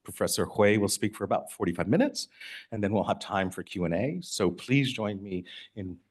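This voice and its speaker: Low-voiced man introducing a speaker. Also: normal speed. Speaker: event host introducing the speaker. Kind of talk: formal introduction.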